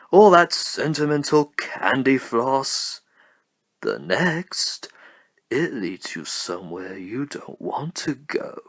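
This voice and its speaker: Mad clown supervillain: slow, sardonic showman delivery, huge pitch swings, cartoon menace, dry close sound. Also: dry recording, full character voice. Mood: brooding menace.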